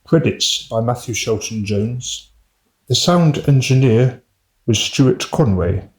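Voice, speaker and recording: A man's voice: medium pitch, lively intonation, slow pace, darkish tone, rough recording. A man reading scripted cast and crew credits as an announcer.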